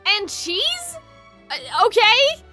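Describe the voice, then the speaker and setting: Very high female voice, bright, animated game streamer, rambling, opinionated, music behind. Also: extremely slow. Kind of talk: casual live gaming commentary.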